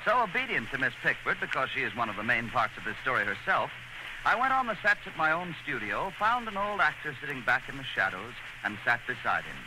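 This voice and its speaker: A man's voice: medium pitch, sing-song, brisk. A man working as a radio commentator, telling a story in an earnest, theatrical 1930s dramatized style. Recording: noisy old 1930s radio broadcast.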